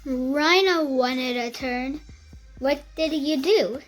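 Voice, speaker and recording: A child reading a picture book aloud in a careful, halting beginner's way, with music behind. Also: high-pitched.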